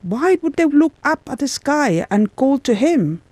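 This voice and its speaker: A woman interpreting a guest's answers in the first person, in a measured, plain delivery in a studio. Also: high voice, big pitch swings, darkish tone, no echo.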